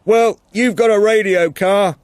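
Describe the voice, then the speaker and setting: Narrator as an irritable man shouting, pitched high. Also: steady tone, unhurried, no echo. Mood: irritated. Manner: loud, curt, strained shouting.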